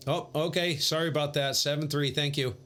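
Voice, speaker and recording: A man's voice: fairly high pitch, steady tone, a little fast. A man live-streaming amateur radio, chatting casually and muttering to himself between call-outs. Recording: clear background radio noise.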